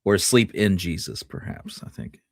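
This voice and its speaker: A man's voice: low voice, very animated, moderate pace, gravelly, no echo. A man co-hosting a history podcast, talking casually and thinking things through aloud. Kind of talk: casual conversational discussion.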